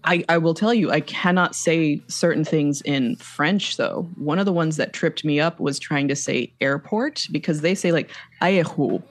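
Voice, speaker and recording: A woman's voice: sits low, normal speed, hardly any pauses. A woman who grew up speaking Spanish, chatting casually and gushing with enthusiasm about songs she loves. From Mexico. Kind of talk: casual chat.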